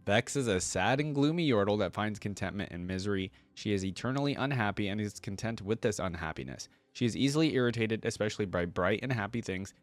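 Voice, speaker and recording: A man's voice: on the low side, no echo. A man hosting a reaction video, chatting casually and reading text aloud with frequent asides. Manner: chatty, pauses to add asides.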